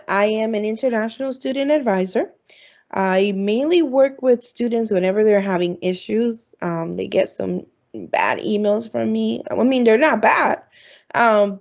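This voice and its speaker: Gravelly woman on a muffled video call. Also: medium pitch, darkish tone. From Florida, United States. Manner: chatty, lots of ums. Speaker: international student advisor. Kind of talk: presenting at an online orientation. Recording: dull, lo-fi video call audio.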